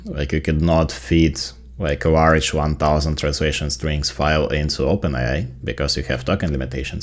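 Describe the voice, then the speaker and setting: A man with a Ukrainian accent, a native Ukrainian speaker, narrating a casual software tutorial in an explanatory way, stressing his key points. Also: a bass voice. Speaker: tech video creator.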